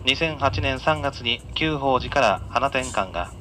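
A male train conductor speaks in a bright, forward voice at a middle pitch. He gives formal, polite on-board announcements over the PA, with faint train noise behind him. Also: lively intonation, rough recording. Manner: polite, measured, formal delivery. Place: inside a moving train, over the announcement system. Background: faint train running noise.

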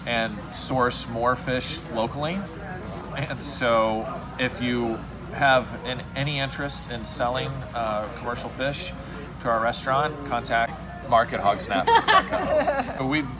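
Man, forties, earnest restaurant owner, mid-pitched, phone-cam close up, music bed behind. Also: lively intonation, unhurried. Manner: earnest, gestures while talking, some restarts. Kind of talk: casual to-camera explanation. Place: home room indoors. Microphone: phone camera close up.

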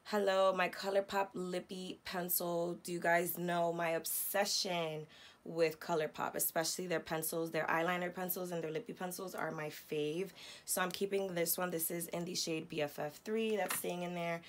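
A young woman beauty vlogger with a hoarse, raspy voice after losing it, chatting casually and slangily about makeup at home. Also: on the low side, steady tone, moderate pace. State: hoarse, lost voice. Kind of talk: casual chatty product talk. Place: home beauty room, indoors.

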